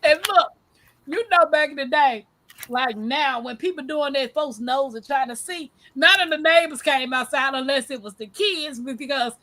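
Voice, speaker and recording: A woman hosting a casual live-stream chat, talking loudly and laughing often as she tells stories. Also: normal speed. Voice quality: says she has a deep voice. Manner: loud, animated storyteller who laughs often. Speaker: livestream host.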